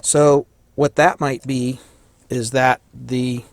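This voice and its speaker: A man with a slightly hoarse voice giving a casual hands-on electronics tutorial, thinking aloud and correcting himself as he works. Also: mid-range voice, level tone, slow, no echo. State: slightly hoarse, losing his voice. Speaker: electronics hobbyist demonstrating a kit.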